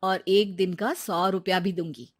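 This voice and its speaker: A woman's voice: lowish voice, fairly even intonation, a little slow. A woman playing a practical, blunt middle-aged seamstress who helps out like an aunt. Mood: kindly offering.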